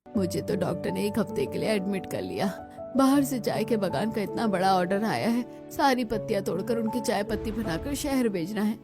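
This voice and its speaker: A woman's voice: mid-range voice, animated, moderate pace, music in the background, close to an everyday voice. A woman voicing a doting older village mother-in-law in a cartoon story, motherly and emphatic. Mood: concerned. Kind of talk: Hindi cartoon story dialogue.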